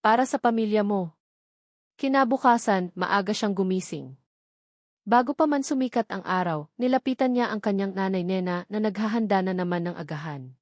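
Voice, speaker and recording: A woman's voice: medium pitch, normal speed, no room sound. Woman narrating a drama, very dry sound. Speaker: storyteller narrator. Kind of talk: scripted dramatic story narration.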